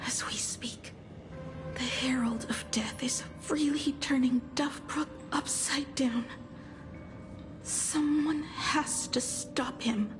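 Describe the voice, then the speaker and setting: A woman playing a frail, earnest young heroine in a video game, speaking haltingly with breathy emotion. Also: high-pitched, fairly even intonation, in a whisper, over music. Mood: quietly resolute. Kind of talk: dramatic video game voice acting.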